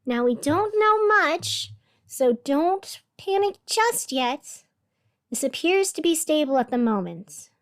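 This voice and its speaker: A woman playing a whimsical, musing radio host of a strange city in a scripted fiction podcast, with dramatic pauses. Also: high voice, big pitch swings, heavily acted. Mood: cautious, announcing. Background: music behind the voice.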